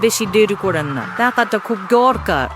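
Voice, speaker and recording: A woman playing a polite but persistent village neighbour in an animated story. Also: mid-range voice, moderate pace, background noise, lightly acted. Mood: polite, pressing.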